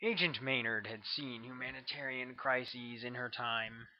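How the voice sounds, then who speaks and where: A man reading his own novel aloud as an audiobook, in measured, even narration. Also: mid-range voice, highs cut off, very noisy.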